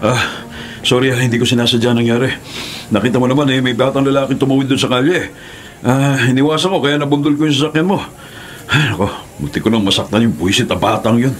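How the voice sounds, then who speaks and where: A man's voice: medium pitch, quick pace, natural voice. An actor playing a hot-tempered, bullying male motorist in a radio drama, with a brusque, blustering manner. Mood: apologetic, placating. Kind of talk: Filipino radio drama acting.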